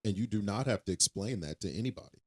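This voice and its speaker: A man's voice: on the low side, expressive, fast talker, a little mellow, slightly rough. A young man co-hosting a casual podcast, emphatic and repetitive as he gives blunt advice. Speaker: podcast co-host.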